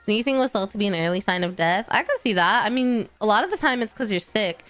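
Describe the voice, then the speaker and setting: A young woman chats with her stream audience in an easy, encouraging way. Her nose sounds stuffy and congested, and she laughs often. Faint music plays softly underneath. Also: mid-range voice, lively intonation, fast talker. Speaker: VTuber streamer. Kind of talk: casual livestream chat, reading chat.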